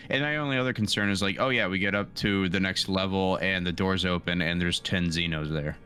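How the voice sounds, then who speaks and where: A man's voice: deep voice, brisk, bright, forceful tone. A man playing a tabletop roleplaying game, chatting informally and thinking aloud. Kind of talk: casual game chat.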